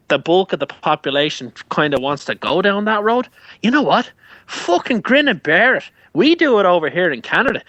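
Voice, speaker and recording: A man's voice: high-pitched, a bit bright, no room sound. A man with an Irish accent, ranting heatedly and full of swearing in a casual show chat. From Ireland. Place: Canada.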